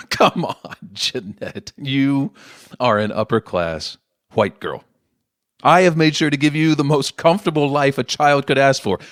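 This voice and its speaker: A man's voice: mid-range voice, lively intonation, unhurried, dry recording, clean studio sound, close to an everyday voice. An actor playing a middle-aged politician father in an audio play, persuasive and defensive, talking down to his grown daughter. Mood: condescending. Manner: condescending, self-justifying.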